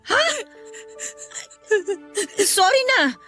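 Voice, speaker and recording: A woman's voice: very high voice, sing-song, very slow, pushed and bright, soft background music, heavily acted. A woman playing a middle-aged mother of many children in a radio drama, coaxing and apologetic. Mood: apologetic, soothing. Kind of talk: Tagalog radio drama acting.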